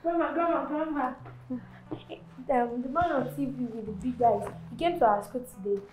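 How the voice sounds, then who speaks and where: An actress as high-voiced schoolgirl, echoey room. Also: moderate pace, darkish tone, faint music behind, lightly acted. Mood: eager. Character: young Nigerian schoolgirl, eager but stubborn granddaughter. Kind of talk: naturalistic film drama acting. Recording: roomy, echoing indoor sound.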